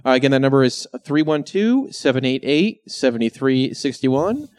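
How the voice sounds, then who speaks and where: A man's voice: medium pitch, big pitch swings, moderate pace, darkish tone, smooth. A man hosting a podcast, moving the show along and reading out contact details. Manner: reads out plugs and contact details. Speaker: podcast host. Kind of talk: casual chat, show segues.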